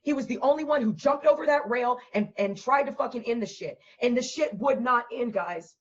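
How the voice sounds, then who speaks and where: A hoarse woman who has lost her voice, hosting a vlog and ranting bluntly with a lot of profanity. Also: fairly high pitch, brisk, lo-fi sound. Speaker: host.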